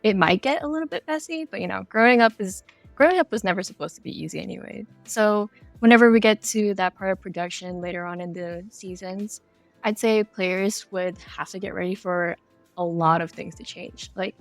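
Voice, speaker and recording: A woman's voice: medium pitch, brisk, vocal fry, no echo, faint music behind. A woman speaking as a guest game developer in a casual podcast interview, explaining things conversationally.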